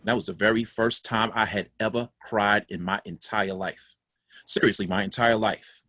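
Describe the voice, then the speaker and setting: A man's voice: fairly low pitch, steady tone, normal speed, bright, forceful tone, no room sound, studio quality. An actor playing a dead father's ghost, a folksy, doting, guilt-ridden man telling his story in a confiding, emphatic way in a play reading. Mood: nostalgic, tender. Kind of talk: theatrical monologue in a stage play reading.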